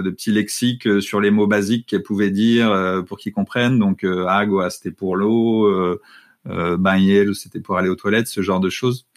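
A man in his thirties with a lowish voice answers interview questions in a relaxed, conversational way. He talks haltingly, with frequent hesitations and restarts as he thinks aloud. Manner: hesitant, many fillers and restarts. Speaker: interview guest, father.

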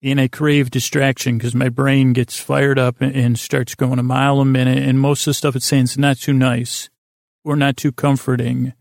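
Creaky, meandering American man. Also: medium pitch, level tone, no echo, clean studio sound. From United States. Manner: meandering, halting, repeats himself, drifts into tangents. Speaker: podcast host. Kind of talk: rambling bedtime monologue.

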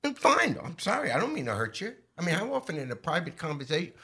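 A man's voice: a little high, huge pitch swings, quick pace, gravelly, pushed and bright, husky. An American man giving conversational opinion commentary, earnest and emphatic, posing rhetorical questions. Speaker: talk show host.

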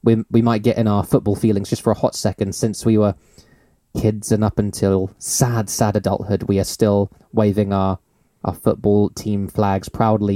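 A man's voice: lowish voice, warm tone, dry recording, clean studio sound. A man, hosting a podcast in a rambling, teasing, laugh-filled chat. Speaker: podcast host.

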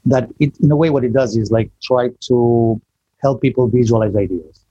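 Guy from spain, english, low mellow voice, a bit husky, dull dry call audio. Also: no room sound. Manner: chatty, self-deprecating, halting restarts. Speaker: guest. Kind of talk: casual online interview.

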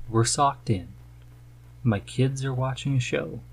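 A man's voice: mid-range voice, a little slow, vocal fry, slightly rough. A man hosting a podcast in a casual, chatty style. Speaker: podcast host. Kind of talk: casual chat.

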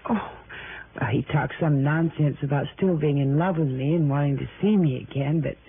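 A woman's voice: deep voice, normal speed. An actress playing a talkative, evasive married woman in an American radio drama, with an American accent and a stammering, deflecting manner. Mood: defensive, agitated. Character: chatty, evasive married woman growing flustered under questioning. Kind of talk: mid-century American radio drama acting. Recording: noisy, narrow-band vintage radio recording.

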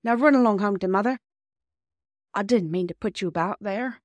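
A woman voicing a grumpy grown railway signalman with a gruff, rustic way of talking, in a storybook reading. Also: mid-range voice, normal speed, dry recording, pitched above the performer's own voice, lightly acted. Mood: sheepish.

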